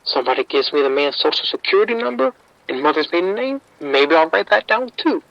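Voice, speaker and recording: A man's voice: fairly high pitch, big pitch swings, brisk, gravelly, dry recording, muffled recording. An actor playing a streetwise male bookie informant, wry and defensive, talking around the point in an audio drama.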